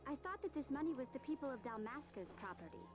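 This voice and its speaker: A woman's voice: sits high, very animated, fast, music in the background. A woman voicing a caring, chiding teenage orphan girl in a video game, earnest and youthful. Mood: teasing, chiding. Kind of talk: voice acting in a game cutscene.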